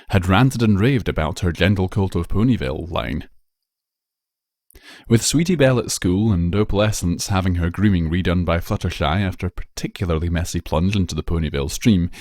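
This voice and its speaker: A man's voice: low voice, a little mellow, dry recording, clean studio sound. A man reading scripted fan fiction as a storyteller.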